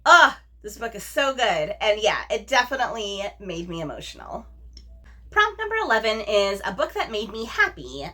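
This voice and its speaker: A woman's voice: medium pitch, bright, forceful tone. A woman talking casually as a vlog host, in an effusive, emphatic, informal way with slangy asides. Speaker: book review vlogger, host. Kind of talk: casual book chat to camera.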